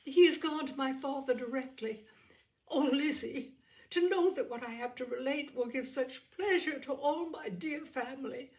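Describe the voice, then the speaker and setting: Period storybook young gentlewoman, played by a woman narrator: high, tender, effusive, exclamatory. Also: normal speed. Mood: elated.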